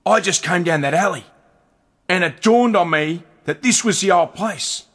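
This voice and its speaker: Husky, high-strung Australian street drifter. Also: male voice, normal speed. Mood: reminiscing, intense. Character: streetwise, excitable male former squatter, scrounging in a ruined city. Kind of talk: radio drama acting.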